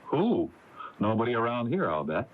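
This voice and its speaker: Flustered, folksy husband with swooping pitch. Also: male voice, sing-song, moderate pace. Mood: curious, dismissive. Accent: American. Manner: folksy, stammering, protesting. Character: flustered, blustering small-town husband, mildly smart-alecky. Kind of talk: 1980s American radio drama acting. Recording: dull, hissy AM radio tape.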